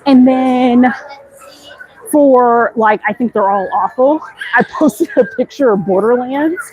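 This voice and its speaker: A woman's voice: a little high, takes it slowly, darkish tone, breathy edge, poor audio. An American woman hosting a casual, chatty walk-and-talk livestream, conversational and speaking directly to her viewers. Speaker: livestream host. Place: outdoors walking through a busy theme park. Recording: outdoor ambient noise. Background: busy theme park ambience.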